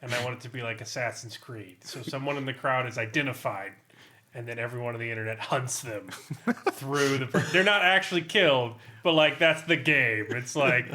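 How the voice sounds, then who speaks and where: Bright, forceful man chatting over noise. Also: mid-range voice, moderate pace. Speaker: podcast panelist. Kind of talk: casual chat.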